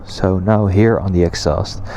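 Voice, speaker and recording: A man's voice: deep voice, fairly even intonation, measured pace, poor audio. A man with a Dutch accent, speaking English as a second language, chatting casually as he rides a motorcycle, with small slips in his grammar. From Netherlands. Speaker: motovlogger. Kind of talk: casual motovlog narration while riding. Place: on a motorcycle on city streets and a highway. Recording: loud wind and engine noise.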